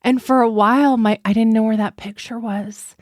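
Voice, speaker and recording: A woman hosting an adoptee podcast, asking questions gently and empathetically. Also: a little high, fairly even intonation, normal speed, dry recording, clean studio sound. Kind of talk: interview host.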